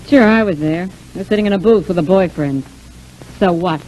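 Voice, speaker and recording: A woman's voice: on the low side, brisk, mellow, close to an everyday voice. An actress playing a brash, defensive, scheming young showgirl in a 1940s radio serial. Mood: brazen, defensive. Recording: noisy old 1940s radio recording.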